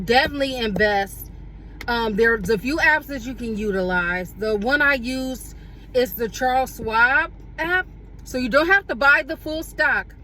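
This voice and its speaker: A woman's voice: fairly high pitch, a bit bright, a little hiss or hum, poor audio. Woman in her forties vlogging from a car. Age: 40s. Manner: unhurried, with many fillers and self-corrections. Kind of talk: casual reflective talk to camera. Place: parked car.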